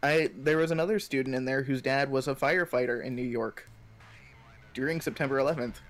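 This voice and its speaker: A young man chatting casually on a live stream, telling stories in a rambling, halting way full of filler words. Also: medium pitch. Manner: rambling, halting storytelling peppered with 'like'. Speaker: stream co-host.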